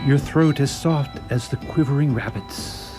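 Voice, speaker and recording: A man plays an undead vampire count of radio drama, imperious and seductive. He speaks very deliberately at a middle pitch, with grandiose menace, over clear background music. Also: close to an everyday voice. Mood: seductive, commanding. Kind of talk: radio theatre acting. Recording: radio play under loud music.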